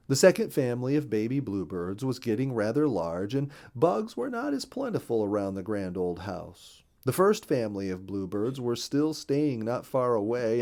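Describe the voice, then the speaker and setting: A man reading a children's nature story aloud as an expressive, careful storyteller. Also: on the low side, vocal fry.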